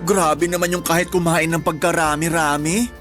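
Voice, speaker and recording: Actress as a sassy, bossy Filipino big-sister type. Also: female voice, fairly low pitch, fairly even intonation, normal speed, close to an everyday voice. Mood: teasing, blunt. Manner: blunt, sassy, slangy. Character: bossy, teasing big-sister friend, protective and blunt. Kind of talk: Tagalog radio drama dialogue, conversational. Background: background music.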